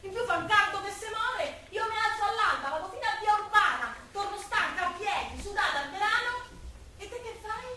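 Hard-up bossy roman woman, sarcastic scolding, high voice, noisy theatre recording. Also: sharp tone, heavily acted. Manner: emphatic, sarcastic, colloquial. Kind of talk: Italian popular stage comedy-drama dialogue. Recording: very echoey, noisy stage recording from far off.

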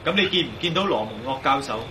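An actor playing an inquisitive investigator questioning people in a radio drama. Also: male voice, mid-range voice, lively intonation, fast, noisy background, close to an everyday voice. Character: probing male investigator questioning witnesses. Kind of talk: Cantonese radio drama acting.

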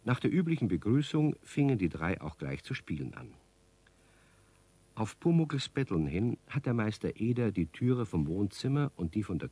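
A man's voice: on the low side, warm tone, breathy edge. A man performing as a friendly storyteller, narrating a scripted children's radio play.

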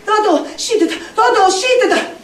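A woman's voice: very high-pitched, lively intonation, slow pace, voice pushed up, exaggerated voice. A woman performing a fretful, scolding Neapolitan wife and mother in a stage monologue, insistently piling up reproaches and warnings. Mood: panicked. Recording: echoey distant stage recording with noise.

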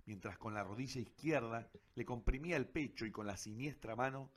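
A man's voice: medium pitch, fairly quick. Man narrating a dramatic radio play.

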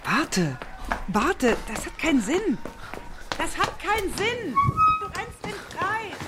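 A woman's voice: very high voice, huge pitch swings, takes it slowly, very noisy, full character voice. An actress playing a pleading woman who warns someone, in a radio drama. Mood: urgent, pleading.

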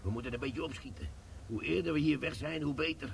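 A man's voice: on the high side, quick pace, gravelly, a little hiss or hum. A man playing a sly mocking sergeant. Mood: brisk. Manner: teasing, calm, sardonic. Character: sly, mocking male army sergeant, a crook. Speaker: bomb disposal sergeant. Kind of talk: radio play acting.

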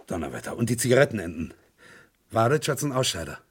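An actor playing a calm, curious man in a German radio crime drama, asking questions in a measured, husky voice. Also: mid-range voice. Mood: attentive, curious.

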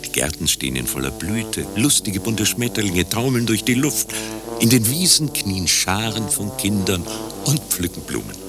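An actor playing a lyrical, mock-solemn narrator who paints an idyllic scene in a radio play. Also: male voice, lowish voice, sing-song, moderate pace. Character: idyllic storybook-style male narrator of a holiday scene. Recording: loud music under the voice.

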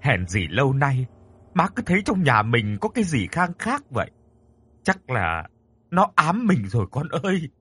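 A man's voice: mid-range voice, wildly swooping pitch, moderate pace, sharp tone, breathy edge, heavily acted. A man voicing a frightened elderly mother in a dramatic story, tearful and pleading. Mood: fearful sorrow.

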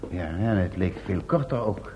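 An actor playing a sensible, decisive adventurer in a radio drama. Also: male voice, low voice, expressive, normal speed. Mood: businesslike. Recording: dull, noisy old radio recording.

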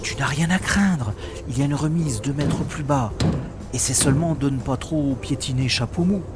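A man's voice: medium pitch, normal speed, heavy background noise, close to an everyday voice. A man playing a cocky, wry space secret agent in a French science-fiction audio drama. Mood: hushed, urging. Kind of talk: French sci-fi audio drama, first-person narration and dialogue. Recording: roomy sound with music behind.

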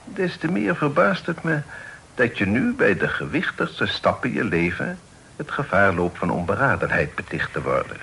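A stern, expressive patriarchal father. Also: lowish voice, gravelly, full character voice. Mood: grave, reproving. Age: middle-aged. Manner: formal, measured, admonishing. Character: stern but fair, measured patriarchal father of a grown son. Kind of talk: period radio drama acting. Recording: noisy old radio play recording.

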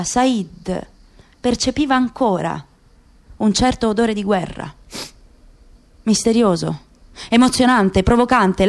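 A woman delivering dramatic literary narration for a radio play, with measured, deliberate phrasing and pauses. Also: fairly high pitch.

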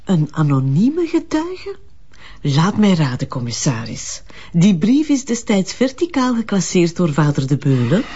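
An actress playing a stern, sceptical magistrate in a crime drama, with a Flemish accent and a clipped, sardonic, authoritative way of questioning. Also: female voice, on the low side, very animated, unhurried, warm tone, husky, highs cut off, heavily acted. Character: stern, sceptical female magistrate grilling a policeman. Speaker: actor. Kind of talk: naturalistic TV crime drama dialogue.

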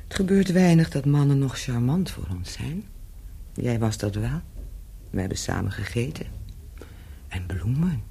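A woman's voice: a bass voice, expressive, a little slow, creaky, pauses often, dark tone, a little hiss or hum, exaggerated voice. An actress in a radio play as a worldly, flirtatious Parisian woman of the night, teasing and nonchalant, with a wry edge. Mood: flirtatious, inviting.

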